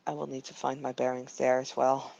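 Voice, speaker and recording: A Dutch-accented man voicing an earnest female werewolf raised in a quiet pack, speaking in a measured, formal way and pausing to find his words. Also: mid-range voice, level tone, creaky, no room sound, dull audio, lightly acted. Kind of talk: in-character roleplay.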